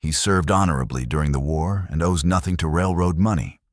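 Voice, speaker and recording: Guy voicing practical cowboy, really deep, plain-spoken and steady, western audiobook. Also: brisk, dry recording, studio quality. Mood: steady, cautioning. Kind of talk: storybook Western character reading.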